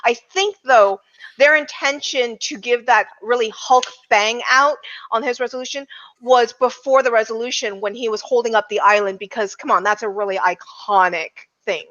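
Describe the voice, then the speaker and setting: Bright, higher-voiced woman on a lo-fi podcast. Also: normal speed. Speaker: podcast panelist. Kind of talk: casual film discussion. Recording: dull lo-fi audio.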